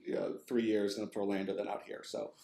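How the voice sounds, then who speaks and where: A man's voice: lowish voice, little pitch movement, fast, vocal fry, dark tone. A man with a mixed Bronx and New Jersey accent, chatting casually as an interview guest in a rambling, self-deprecating way. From New Jersey and Florida, United States. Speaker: interview guest, video game and TV writer. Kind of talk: casual chat. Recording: roomy, echoing sound.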